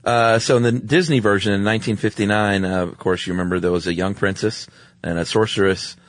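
A man's voice: fairly low pitch, fairly even intonation, fairly quick, dry recording. A man co-hosting a podcast, retelling stories in casual chat with a droll, offhand manner. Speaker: podcast co-host.

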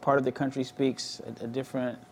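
A man's voice: mid-range voice, flat delivery, a little fast. Guy interviewing, curious, casual, says like a lot, mid voice, faint background hum. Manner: curious, loose phrasing with many "like"s.

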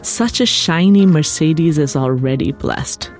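A woman's voice: low voice, a little slow, darkish tone. Lady narrator doing a snobby dismissive husband from a novel, brusque, bit of music behind. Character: dismissive, status-proud, fussy Indian husband.

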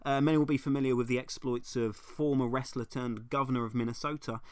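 A man's voice: medium pitch, quick pace, smooth, dry recording. Guy deadpanning through a comedy podcast bit, dry close mic. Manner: wry, deadpan, sarcastic delivery. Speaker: podcast host. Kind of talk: scripted comedic segment reading.

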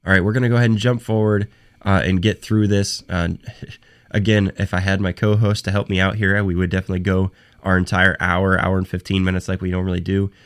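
A young man with a fairly low voice hosts a sports talk show on his own. He is hoarse from a cold and his voice is fading. He talks off the cuff in halting bursts, restarting phrases and doubling words. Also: little pitch movement. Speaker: podcast host. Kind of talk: solo sports talk podcast.

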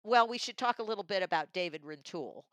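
A woman's voice: mid-range voice, lively intonation, quick pace, a bit bright, dry recording. A middle-aged or older woman giving a conversational book review. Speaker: magazine publisher and audiobook reviewer.

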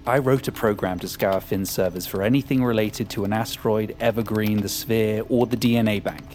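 An actor playing an arrogant, power-hungry hacker in an audio drama, with a smug, cynical and sardonic manner. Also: male voice, fairly low pitch, steady tone, brisk, darkish tone, close to an everyday voice. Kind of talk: audio fiction acting.

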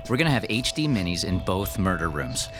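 A man's voice: low voice, very animated, fairly quick, music in the background. An actor playing a flippant young ghost-hunting tech guy with a casual, wisecracking manner in a radio horror drama. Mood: upbeat, businesslike. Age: young adult.

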